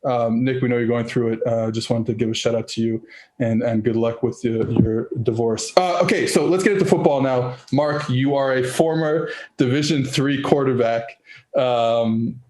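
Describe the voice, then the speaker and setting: Host: man, mid pitch, teasing and halting, echoey room sound. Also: darkish tone, a little hiss or hum. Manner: rambling, teasing, halting with many restarts. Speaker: podcast host. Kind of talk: casual podcast chat. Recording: roomy echo.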